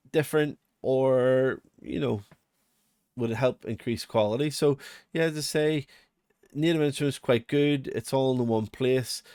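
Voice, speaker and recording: A man's voice: mid-range voice, darkish tone, no echo, clean studio sound. A man with a Northern Irish accent giving a casual, unscripted walkthrough as a tutorial host, chatty, rambling and self-deprecating. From Northern Ireland. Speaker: music production channel host.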